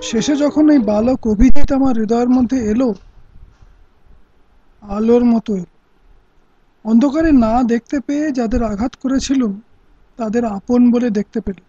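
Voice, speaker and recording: A man's voice: extremely high pitch, a little slow, pauses often, mellow, husky, no echo, heavily acted. A man playing a principled, defiant older royal uncle in an audio play, with earnest, poetic oratory. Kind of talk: Bengali radio play acting. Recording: dull lo-fi audio with music.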